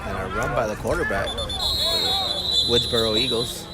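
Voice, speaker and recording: Man, game announcer, big loud voice, play-by-play, heavy crowd and ambient noise outdoors. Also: medium pitch, lively intonation, moderate pace. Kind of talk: play-by-play commentary and chat. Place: outdoors at a football field.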